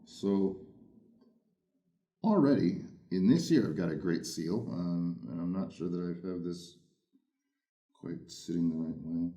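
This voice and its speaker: A loud, big-voiced man hosting a casual, playful unboxing of earphones. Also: deep voice, measured pace, long pauses. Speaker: headphone reviewer. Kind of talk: casual unboxing first impressions.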